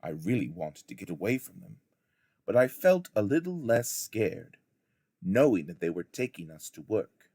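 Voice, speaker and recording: A man reading a memoir aloud as a teacher would, with deliberate, dramatic phrasing. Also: lowish voice, very animated, warm tone, dry recording, studio quality. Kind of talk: expressive audiobook narration of a memoir.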